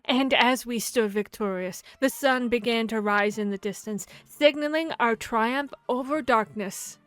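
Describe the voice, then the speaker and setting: A woman playing a boastful, playful vampire hunter in a fantasy audio roleplay, speaking in a theatrical, expressive storytelling manner. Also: a little high, measured pace, clean tone, no room sound. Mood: dramatic, proud. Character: boastful, playful female vampire hunter telling tavern tales. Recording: music behind the voice. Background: background music, tavern ambience.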